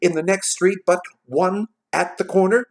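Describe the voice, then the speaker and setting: A storyteller voicing an overjoyed, excitable old gentleman who gushes and whoops in a storybook reading. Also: medium pitch, normal speed, pitched above the performer's own voice, close to an everyday voice. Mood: eager, excited. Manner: exclamatory, whooping, gushing.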